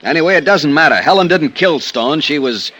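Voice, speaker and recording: An actor playing a defensive supper club manager giving curt, guarded answers in an old radio drama. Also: male voice, a little high, expressive, fairly quick, sharp tone, a little hiss or hum. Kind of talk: 1950s radio drama acting.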